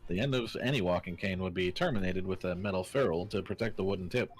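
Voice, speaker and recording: A man's voice: low-pitched, quick pace, slightly rough, soft background music. A man voicing a pompous, theatrical detective with grandiose, formal diction in an amateur video game fan dub. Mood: assured deduction. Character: pompous, theatrical great detective, deducing a crime with relish.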